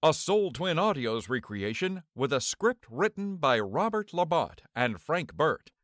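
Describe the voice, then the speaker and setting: A man's voice: a little high, big pitch swings, measured pace, fairly bright tone, no room sound, clean studio sound. A man announcing in a dramatic, portentous old-time radio style. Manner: theatrical, portentous.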